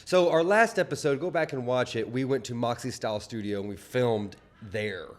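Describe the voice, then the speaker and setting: A Southern man co-hosting a local talk show, chatting loosely and restarting his sentences. His pitch is mid-range and his voice sits in the middle of the usual male range. He is recorded in a studio. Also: normal speed. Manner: rambling, self-correcting, chatty. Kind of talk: casual chat.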